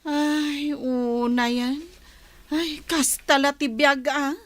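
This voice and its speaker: Woman acting a slow, high-voiced Ilocano elder sister. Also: fairly even intonation, dry recording. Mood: resigned reproach. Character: elder sister, deliberate, thrifty and long-suffering. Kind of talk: Ilocano radio-style drama acting.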